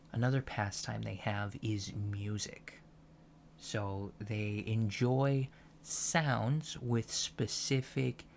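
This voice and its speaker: A soft-spoken man giving a deadpan, matter-of-fact ASMR roleplay lecture as a college teacher. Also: lowish voice, gravelly, slight background noise. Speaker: ASMR performer playing an alien college lecturer.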